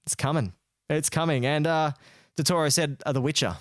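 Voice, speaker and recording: A man's voice: a little high, steady tone, fairly quick, no echo, studio quality. An Australian man co-hosting a podcast, chatting about games in a laid-back, slangy way. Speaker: podcast co-host. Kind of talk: casual gaming chat.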